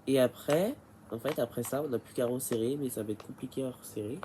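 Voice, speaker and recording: A man's voice: mid-range voice, a little fast, mellow. Man, head cold, casual bike repair how-to, hesitant, lots of fillers, noisy recording. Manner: hesitant and rambling, many fillers. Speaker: bike repair tutorial maker.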